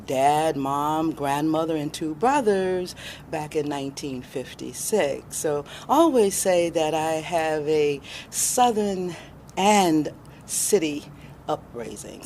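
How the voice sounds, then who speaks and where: An American woman in her sixties speaks in a somewhat low voice. She tells her story as an interview guest with expressive emphasis. Ambient noise sits behind her. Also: slow pace. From born in Alabama, raised in Chicago, USA.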